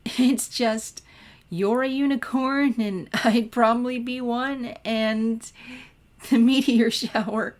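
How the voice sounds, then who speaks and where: A woman voicing an excitable, nerdy teenage girl in a story reading, eager and gushing. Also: on the high side, slow, pitched above the performer's own voice. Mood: giggly, amused. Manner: eager, gushing, stammering when flustered.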